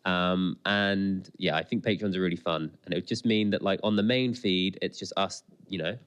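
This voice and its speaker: A man's voice: low voice, fairly even intonation, moderate pace, a bit bright, clear, resonant tone, dull audio. A man co-hosting a podcast in casual chat, rambling along and often interrupting himself. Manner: chatty, rambling, self-interrupting. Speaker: podcast co-host.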